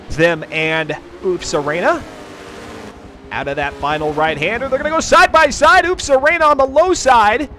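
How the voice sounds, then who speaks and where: A high-voiced man calls a sim race as the stream's host. His patter is folksy and chatty, with jokey asides. Car engine noise runs behind his voice. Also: bright, forceful tone. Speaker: sim racing livestream commentator and host. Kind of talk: live racing commentary. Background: car engines and race ambience.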